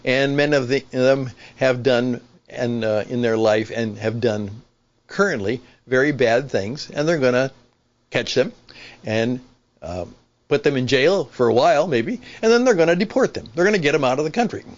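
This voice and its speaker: Older radio man, muffled recording. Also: medium pitch. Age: 60s or older. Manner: deliberate, emphatic, broken phrasing with repeated rewording. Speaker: podcast host, veteran radio broadcaster. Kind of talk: conversational solo radio commentary.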